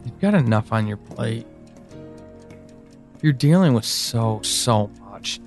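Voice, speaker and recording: An actor playing an anguished, pleading, devoted man in an audio drama monologue, speaking haltingly and emotionally. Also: medium pitch, animated, darkish tone, faint music behind. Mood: apologetic, tender. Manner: halting, hesitant, emotional, with sighs and pauses.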